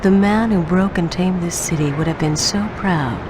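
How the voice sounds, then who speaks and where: An actor narrating a video game hunt story in a measured, ominous and formal way. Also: medium pitch, close to an everyday voice. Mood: solemn, ominous. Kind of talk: scripted dramatic narration. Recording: noisy video game cutscene audio.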